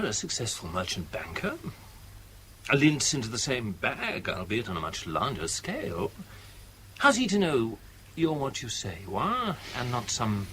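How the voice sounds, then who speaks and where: An actor playing a shrewd, urbane British adviser in a radio drama, speaking in precise, rhetorical, cautionary phrases. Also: male voice, medium pitch, pushed and bright, a little hiss or hum.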